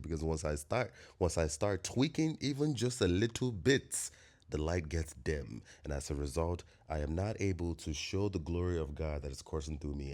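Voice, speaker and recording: A man's voice: very deep voice, a little mellow. A man from Nigeria with a Nigerian accent, hosting a reflective, preachy spiritual monologue with emphatic bursts. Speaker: host.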